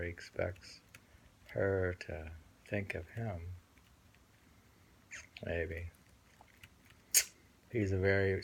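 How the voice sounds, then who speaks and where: A man reading poems aloud with casual commentary, musing and halting as he goes and often repeating phrases. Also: sits low, gravelly, slight background noise, poor audio. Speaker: host reading and discussing poems.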